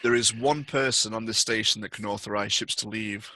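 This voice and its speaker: A man's voice: on the low side, steady tone, brisk, bright, forceful tone, low-quality recording. A man speaking casually as game master of a tabletop roleplaying game.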